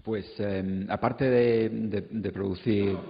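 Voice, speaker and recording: A man with a deep voice improvises an answer on a panel, hesitating as he looks for words. It is recorded in a big reverberant hall with a slightly muffled sound. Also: fairly even intonation, slow, gravelly. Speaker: radio presenter on conference panel. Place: conference hall, amplified event.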